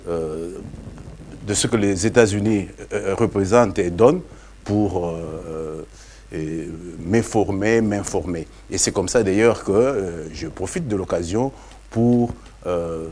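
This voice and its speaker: A man with a Congolese accent explains himself as an interview guest, haltingly, often repeating words. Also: low voice, a little mellow, slight background noise. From Democratic Republic of the Congo. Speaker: interview guest, parliamentary candidate.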